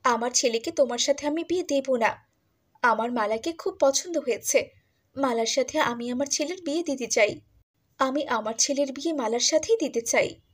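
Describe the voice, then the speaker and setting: A woman playing a decisive, outspoken older mother of a groom in a cartoon moral tale, husky and emphatic. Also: high voice, normal speed. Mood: firm.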